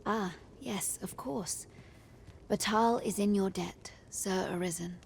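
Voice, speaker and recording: An actress playing a gracious, solicitous noblewoman in a fantasy video game, measured and courtly, at times breathy. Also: medium pitch, exaggerated voice. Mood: gracious, subdued. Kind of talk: video game character dialogue.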